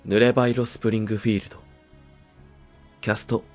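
A man's voice: on the low side, level tone, a little fast, soft background music. A man reading scripted credits as the narrator of an audio drama.

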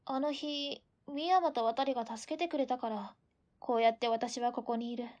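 A high-pitched young girl's voice, acted by a woman in radio-drama style. She is an orphan, quick to sulk but sincere and affectionate toward those around her. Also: steady tone, a little mellow, lightly acted. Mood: earnest, grateful. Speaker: voice actor in an audio drama. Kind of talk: Japanese radio drama acting.

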